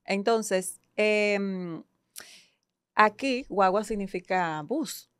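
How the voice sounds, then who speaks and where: A woman's voice: mid-range voice, very slow, clear, resonant tone, no echo, clean studio sound. A woman in her thirties from Ecuador with a Dominican accent, a guest psychologist and coach telling her story in a casual interview, laughing often. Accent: Dominican Spanish. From Guayaquil, Ecuador. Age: 30s.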